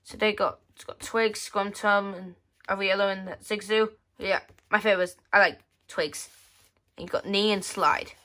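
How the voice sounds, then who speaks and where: Bright-voiced casual female magazine commentator. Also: mid-range voice, steady tone, moderate pace. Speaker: host of a magazine flip-through video. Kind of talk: casual commentary, reading page text aloud. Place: indoors at a tabletop.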